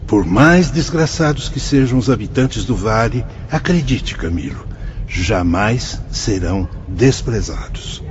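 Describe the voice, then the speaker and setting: A wise male spirit guide with a Brazilian Portuguese accent, speaking in measured, patient explanations in a radio drama. His pitch rises and falls in a lively way. The recording sounds dull and muffled. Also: a little hiss or hum. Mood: patient, instructive. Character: calm, wise adult male spirit instructor.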